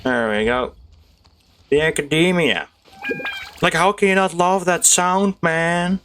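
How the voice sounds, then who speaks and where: A man giving casual, excitable gameplay commentary, chatting to his viewers as a gaming YouTuber. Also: fairly high pitch, slow pace, slight background noise. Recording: game music behind voice. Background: video game music.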